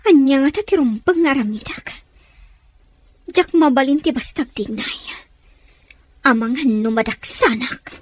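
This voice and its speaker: Woman acting high-voiced troubled young daughter. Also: unhurried, long pauses, no echo. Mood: distressed, indignant.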